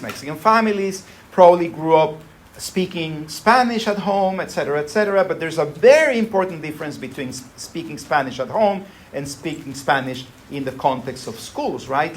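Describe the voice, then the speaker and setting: A man lecturing to a class in an easy, conversational style, often checking that his listeners follow. His voice sits a little high. A faint hiss of background noise runs under the recording. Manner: conversational, frequent tag "right?". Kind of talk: university lecture.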